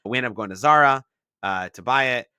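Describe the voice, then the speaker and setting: A man's voice: mid-range voice, brisk, bright, forceful tone, smooth, no room sound, studio quality. A man from Israel and the San Francisco Bay Area, telling his startup story in a casual interview and explaining it with examples. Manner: explains things with examples, frequent "like" fillers. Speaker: startup founder, guest.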